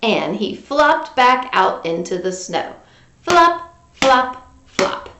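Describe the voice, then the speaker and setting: A woman's voice: a little high, sing-song, slow pace, echoey room, noisy background. A woman reading a picture book to children in a playful, interactive way, coaxing listeners along. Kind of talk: animated children's read-aloud with sung refrains and asides.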